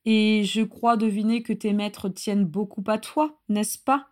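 A woman voicing a condescending male dark lord who speaks softly and slowly with false courtesy, in a fantasy story reading. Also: medium pitch, fairly even intonation, natural voice. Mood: silky contempt. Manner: soft, caressing, slow, falsely courteous.

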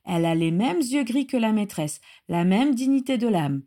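A woman voicing a fawning, devoted male house-elf servant in a grating, creaky voice, for a fantasy story reading. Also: on the high side, expressive, normal speed, dark tone, close to an everyday voice. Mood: flattered, devoted. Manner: obsequious, speaks of himself in third person.

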